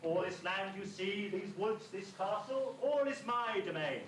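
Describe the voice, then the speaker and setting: A man's voice: high voice, lively intonation, measured pace, a bit bright. A man acting a lordly, stern but secretly hopeful beast in an amateur rhyming pantomime, imperious and theatrical. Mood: menacing. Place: school hall stage. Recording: echoey distant stage recording with hiss.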